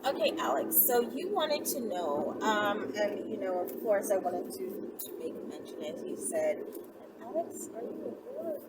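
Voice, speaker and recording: A woman's voice: mid-range voice, wildly swooping pitch, a little slow. A woman talking casually as an online host answering viewers, informal, sassy and emphatic, repeating herself to make a point. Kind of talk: casual chatty vlog talk. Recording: distant mic, loud vehicle-like background noise.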